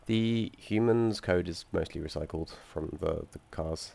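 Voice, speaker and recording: Croaky young man walking through code. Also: deep voice, unhurried, mellow, slight background noise. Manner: hesitant, many ums and restarts, dry asides. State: croaky from feeling unwell. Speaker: student presenting his game project. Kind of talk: screen-recorded code walkthrough.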